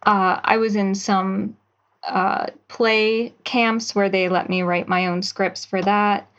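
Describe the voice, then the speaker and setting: A young woman in her twenties from Pennsylvania, a writer answering interview questions in a measured, thoughtful way with a few hesitations. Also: mid-range voice, steady tone, a bit bright, highs cut off. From Pennsylvania, USA. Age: 20s. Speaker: writer and guest.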